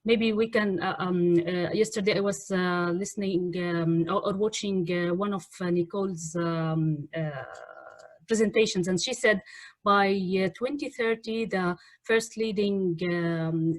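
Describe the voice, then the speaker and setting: A woman hosting an online webinar, speaking off the cuff in a halting way as she searches for words. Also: lowish voice, dry recording. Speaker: webinar host. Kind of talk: unscripted webinar introduction. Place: online video call.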